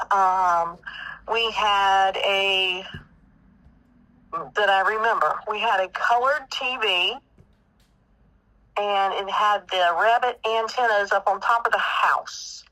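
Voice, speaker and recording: Casting: Southern American woman, 50s, bright tone in a dry, close sound, casually answering family interview questions, thinking out loud. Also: mid-range voice, fairly even intonation, takes it slowly, no echo, low-quality recording. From South Carolina, United States.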